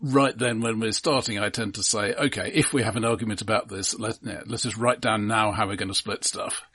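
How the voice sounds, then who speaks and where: A man with a lowish, raspy voice. He speaks as a podcast co-host in casual film talk. His delivery is analytical and halting, often restarting as he works through a point. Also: fairly even intonation, fairly bright tone, no echo, studio quality.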